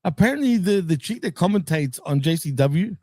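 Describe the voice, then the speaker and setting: A man co-hosting a casual podcast, laid-back and quick to laugh, swearing casually as he chats. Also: on the high side, animated, darkish tone, dry recording, clean studio sound. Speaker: co-host. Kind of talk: casual chat.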